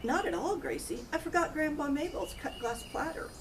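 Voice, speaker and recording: A woman's voice: high voice, very animated, moderate pace, pitched above the performer's own voice. A woman voicing a fretful, forgetful older mother in an audiobook-style story reading. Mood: flustered. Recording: distant mic, loud outdoor noise.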